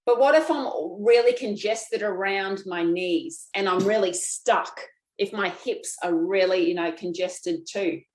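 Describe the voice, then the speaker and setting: A chatty, folksy woman leads viewers through a self-massage routine, speaking at an unhurried pace. Her voice bounces around an echoey room. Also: medium pitch. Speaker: lymphatic drainage instructor. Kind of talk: guided self-massage class.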